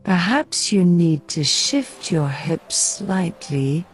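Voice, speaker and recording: A woman guiding a sleep meditation in a gentle, soothing, measured way, reading scripted narration with pauses between phrases. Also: fairly low pitch, lively intonation, low-quality recording. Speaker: meditation guide. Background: soft music.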